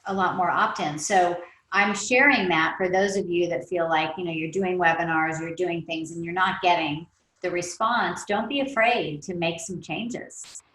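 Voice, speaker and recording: A woman's voice: lowish voice, normal speed, a bit bright, roomy sound, a little hiss or hum, rough recording. A woman in her forties hosting a podcast, chatting animatedly and laughing easily with her guest over a video call from an office. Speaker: podcast host. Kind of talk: casual interview chat.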